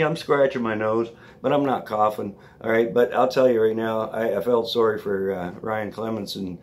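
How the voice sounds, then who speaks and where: Raspy-voiced man chatting casually. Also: on the low side, darkish tone, a little hiss or hum. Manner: chatty, rambling, checks in with "all right?". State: slightly raspy voice from allergies. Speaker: vlog host. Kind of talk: casual talk to camera.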